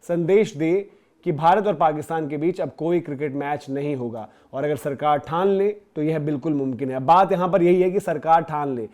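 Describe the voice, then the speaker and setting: Mellow, higher-pitched man presenting news commentary. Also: normal speed. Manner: emphatic, conversational, rhetorical questioning. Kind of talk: opinionated news commentary to viewers.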